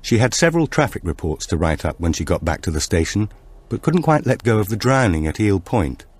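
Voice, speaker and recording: Male audiobook narrator for suspense fiction: low, husky, measured, with expressive rise and fall. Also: no echo. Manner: measured storytelling. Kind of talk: scripted narration of a suspense novel.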